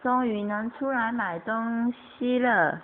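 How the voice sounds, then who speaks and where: A woman's voice: on the high side, slow pace. A woman playing a doting monster mother in a children's radio play, in simple exclamatory play-acting. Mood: surprised. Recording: tinny, band-limited amateur recording with noise.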